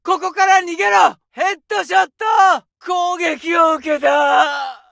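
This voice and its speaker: A young soldier barking combat orders. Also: male voice, very high voice, takes it slowly, gravelly, no room sound, studio quality, exaggerated voice. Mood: wounded anger. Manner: barked orders and battle shouts. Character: young male Japanese infantry soldier, aggressive. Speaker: soldier voice lines. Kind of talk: game combat callouts.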